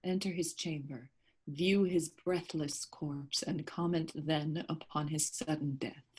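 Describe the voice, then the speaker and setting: Woman as medieval king in a verse play, raspy breathy voice, takes it slow, fragile and sorrowful. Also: low voice, steady tone, a little mellow, full character voice. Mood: mournful. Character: fragile, pious, grief-stricken medieval king. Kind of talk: classical verse stage acting. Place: remote staged reading over video call.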